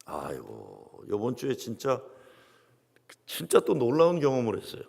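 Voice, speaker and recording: A male pastor preaching a revival sermon, telling a story with lively shifts and hushed asides. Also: medium pitch, moderate pace, creaky, slightly rough. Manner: lively storytelling, quoting congregants, dramatic drops to near whispers. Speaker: guest pastor. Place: large church sanctuary. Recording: long reverb of a large hall.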